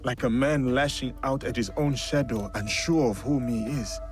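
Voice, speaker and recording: An actor playing an earnest, measured and respectful foreign samurai warrior in training, in a video game cutscene. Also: male voice, mid-range voice, close to an everyday voice. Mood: frustrated, troubled. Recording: game music behind the voice.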